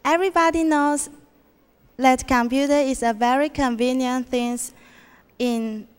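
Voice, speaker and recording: A woman's voice: sits high, steady tone, measured pace, clear, resonant tone. A teenage girl from Taiwan with a Taiwanese accent gives an English contest speech, hesitating and restarting her sentences. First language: Mandarin Chinese. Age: teens. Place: school auditorium. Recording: reverberant hall.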